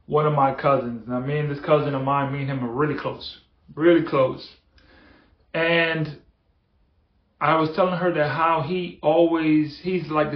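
Male motivational podcast host, fairly high voice, earnest and repetitive, dull echoey room. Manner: earnest, repetitive, building emphasis. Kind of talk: motivational monologue.

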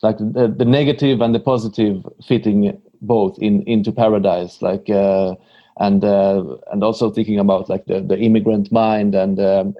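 A man interviewing a guest, asking questions in a halting way full of fillers. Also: lowish voice, little pitch movement, lo-fi sound.

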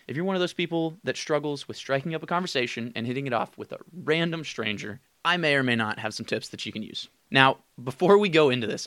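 A man in his twenties, a bit raspy from being sick, hosting his own podcast in a casual, candid way and laughing as he talks. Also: medium pitch, fairly bright tone, no echo. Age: 20s. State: raspy from illness. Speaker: podcast host. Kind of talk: solo podcast monologue. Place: his room.

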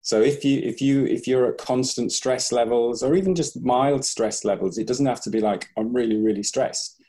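Man, breathing coach, podcast interview, warm mellow voice, many restarts, quotes clients in character. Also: mid-range voice, moderate pace. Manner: thoughtful, restarts often, acts out clients' voices.

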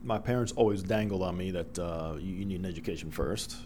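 A middle-aged man from the Dominican Republic whose first language is Spanish, a broadcaster telling lively stories as a guest in an interview. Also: low-pitched, fairly quick, slight background noise. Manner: animated storyteller, acts out quoted voices. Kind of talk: storytelling interview.

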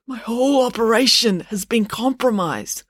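Woman putting on a gasping old woman professor, injured spy, urgent, breathless, raised pitch, children's audiobook. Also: animated, moderate pace, a bit bright, no room sound, studio quality. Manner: breathless, labouring to get words out.

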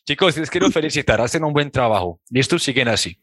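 A man with a Colombian accent hosting an interview, encouraging his guest with quick approving remarks. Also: medium pitch, animated, fairly bright tone, no room sound, clean studio sound. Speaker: interview host. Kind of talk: casual interview.

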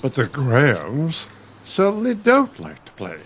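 A man's voice: medium pitch, wildly swooping pitch, measured pace, a bit bright, slight background noise. Guy playing grumpy bookish old husband, radio play, condescending and snippy, really up and down delivery. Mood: exasperated. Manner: testy, condescending, sardonic. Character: irritable elderly bookish husband, pompous retired college dean.

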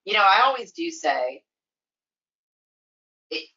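A woman's voice: mid-range voice, sing-song, slow pace, sharp tone, muffled recording. A woman guest on a podcast, chatty and easygoing, using lots of fillers and laughing easily. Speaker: guest, skating association staff. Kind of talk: casual podcast interview.

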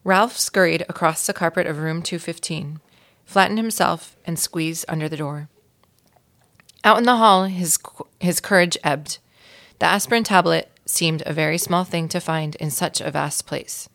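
A woman reads a children's story expressively as a guest narrator. Her voice is on the low side, with plenty of vocal fry. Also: moderate pace, a bit bright.

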